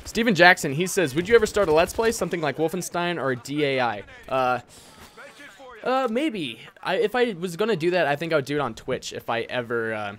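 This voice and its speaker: A man's voice: a little high, animated, a little fast, fairly bright tone. Young American man, casual, over noisy gunfire. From United States. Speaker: gaming and airsoft YouTuber. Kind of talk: casual Q&A commentary, reading out viewer questions.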